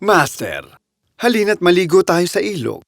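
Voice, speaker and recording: A man voicing a clever, bold talking cat in an animated fairy tale, persuasive and theatrical. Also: high voice, moderate pace, vocal fry, exaggerated voice. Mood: coaxing. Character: clever, bold talking cat, loyal servant to his master. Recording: music under the voice.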